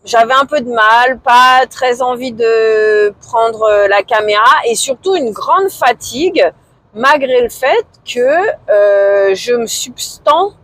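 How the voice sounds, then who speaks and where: A woman in her forties talking casually to camera in a vlog, hesitant and low-key, with many fillers and pauses. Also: a bit bright, no echo. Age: 40s. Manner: low-key, somewhat discouraged. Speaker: vlogger.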